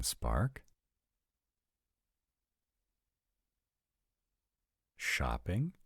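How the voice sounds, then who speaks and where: Man playing a very deep, gravelly arrogant vampire. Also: a little mellow, no room sound, studio quality, full character voice. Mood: teasing, amused. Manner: formal, measured, articulate. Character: arrogant, urbane male vampires, courteous and formal. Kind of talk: acted romantic fantasy audio roleplay.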